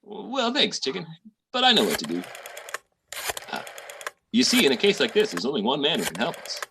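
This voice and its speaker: A man playing a slangy, squeamish small-time schemer with a raspy voice in a 1940s-style radio comedy. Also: on the high side, wildly swooping pitch, moderate pace, noisy background, exaggerated voice. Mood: breezy, scheming.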